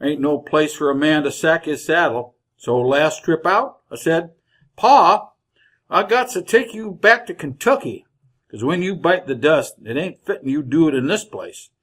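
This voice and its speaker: An actor playing a chatty, folksy older wagon driver with a rural Western American drawl in an old-time radio Western. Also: male voice, fairly high pitch, lively intonation, a little slow, fairly bright tone. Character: garrulous leathery old frontier wagon driver, folksy and cheerful.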